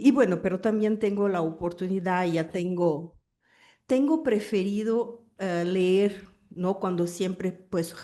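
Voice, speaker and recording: Lady speaking spanish on a panel, slow and hesitant, warm low-key voice. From Brazil. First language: Portuguese. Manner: hesitant, searching for words with many fillers. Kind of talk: panel discussion.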